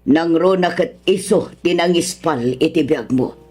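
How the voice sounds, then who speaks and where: A woman's voice: low-pitched, a little slow, loud music behind the voice. A woman with a Filipino accent playing an exasperated but caring older wife who scolds, in a radio drama. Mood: scolding, worried. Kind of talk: Ilocano radio drama acting.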